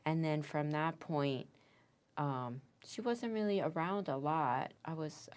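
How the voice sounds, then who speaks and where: An American woman in her fifties with a notably low, deep voice speaks softly and reflectively in an interview. She pauses often and restarts her words, taking her time as she recalls things. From Korea, raised in the United States. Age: 50s. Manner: soft-spoken, thoughtful, with hesitant restarts. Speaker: interviewee, Korean adoptee.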